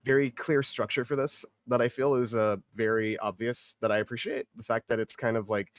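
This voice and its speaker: A man's voice: medium pitch, fairly even intonation, a little fast, no echo, studio quality. A man giving casual feedback in a hesitant, hedging, rambling way. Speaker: participant giving script feedback. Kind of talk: casual spoken critique.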